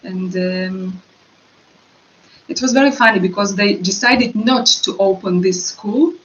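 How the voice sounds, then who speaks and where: A woman's voice: medium pitch, a little slow. Interview guest: Russian-accented woman, hesitant and searching for words, recorded a little dull with light hiss. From Russian-speaking. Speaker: actress guest. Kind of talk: interview answers.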